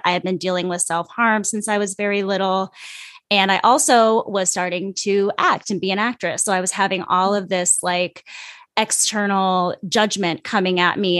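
A woman's voice: mid-range voice, fairly bright tone, no room sound. A woman in her thirties hosting a casual interview, chatty and candid, with frequent "like" fillers. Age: 30s. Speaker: podcast host.

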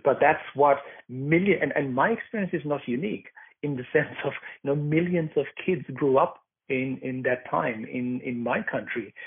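A man's voice: a little high, breathy edge. A man from Sri Lanka, a documentary maker recounting his past as an interview guest, thoughtful and full of fillers and restarts. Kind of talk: interview answers, personal account. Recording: tinny phone-like line.

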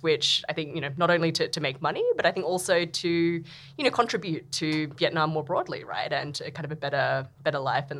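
Aussie woman, low voice, chatty investor interview, lots of you knows and little laughs. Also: a bit bright. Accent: Australian. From Australia. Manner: chatty, many fillers, laughs often. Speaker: venture capital investor. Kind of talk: interview answers.

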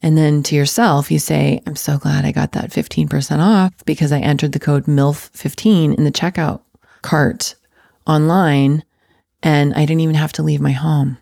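Gushing woman host with a gravelly voice. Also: low voice, little pitch movement, normal speed, warm tone, dry recording, studio quality. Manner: gushing, effusive. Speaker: podcast host. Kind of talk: casual interview chat.

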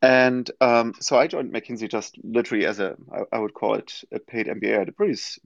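A man in his forties from Germany with a German accent, answering interview questions in a thoughtful way with many pauses. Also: mid-range voice, gravelly, dry recording. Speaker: guest, company founder. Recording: dull lo-fi audio-room stream.

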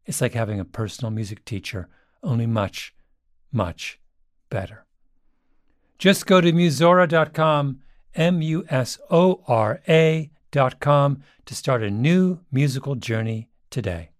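A man's voice: medium pitch, animated, measured pace, no room sound. Male ad announcer, dry studio read. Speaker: advertisement announcer. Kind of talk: scripted ad read.

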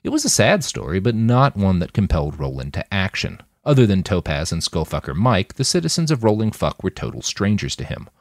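A man reading a scripted story aloud as an expressive audiobook-style narrator. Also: deep voice, fairly quick, few pauses, no room sound, studio quality. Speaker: author reading own fiction podcast.

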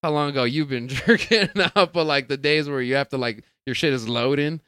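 A man's voice: mid-range voice, a little fast, bright, forceful tone, smooth, dry recording, studio quality. A man in his thirties hosting a podcast, chatting casually and laughing often as he jokes around. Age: 30s. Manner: laughs often, loose and joking. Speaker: podcast host. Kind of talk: casual comedy chat.

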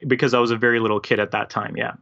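A man in his thirties from the former Yugoslavia, raised in Canada, talking as a podcast guest in a friendly, relaxed way. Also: mid-range voice, very fast, vocal fry. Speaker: podcast guest, real estate investor. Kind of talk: casual interview. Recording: dull lo-fi call audio.

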